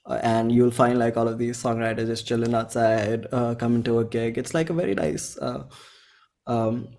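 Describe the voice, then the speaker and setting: A man's voice: mid-range voice, steady tone, a little mellow. A man in his twenties with an Indian accent, chatting casually in an interview as a musician, thinking aloud and often correcting himself. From central India. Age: 20s. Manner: informal, halting, thinks aloud with frequent self-corrections. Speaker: indie musician being interviewed.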